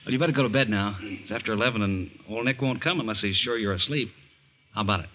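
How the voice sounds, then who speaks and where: An actor playing a young reformed safecracker who talks earnestly and protests defensively in a 1940s radio drama. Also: male voice, fairly low pitch, fast talker, natural voice. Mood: gentle. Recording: dull old radio broadcast.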